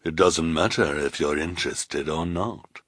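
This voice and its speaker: A man's voice: a bass voice, measured pace, fairly bright tone, slightly rough, dry recording, studio quality, full character voice. A man voicing a sinister, menacing woman villain in a storybook, cold and ominous.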